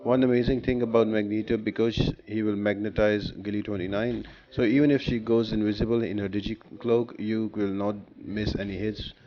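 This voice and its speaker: A man's voice: fairly low pitch, fairly even intonation, normal speed, hardly any pauses, muffled recording, a little hiss or hum. A man giving instructional gameplay commentary, explaining tactics step by step as a guide creator.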